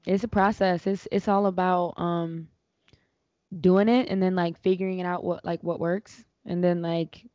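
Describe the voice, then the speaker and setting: Woman hosting a podcast, kinda low voice, chill slangy rambling, dead dry muffled sound. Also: little pitch movement, moderate pace, warm tone, no room sound. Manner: laid-back slang, filler-heavy rambling. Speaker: podcast host. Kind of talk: casual podcast chat.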